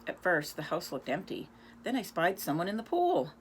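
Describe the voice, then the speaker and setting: A woman's voice: lowish voice, big pitch swings, normal speed, slight background noise. A woman reading a picture book aloud expressively, now and then speaking to young listeners. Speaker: reader.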